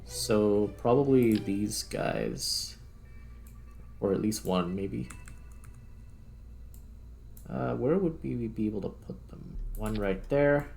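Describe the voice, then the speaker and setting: A man with a lowish voice gives casual gaming commentary over clear background music. He thinks aloud in an informal way, half muttering to himself as he plays. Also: slow pace, pauses often, darkish tone. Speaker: gaming video host, player.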